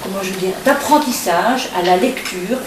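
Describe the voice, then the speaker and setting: A middle-aged woman from Belgium lays out her view in an insistent, lecturing tone. She is heard from a distance in a reverberant room. Steady background noise runs under her words. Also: medium pitch, moderate pace. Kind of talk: public debate contribution. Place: public meeting hall.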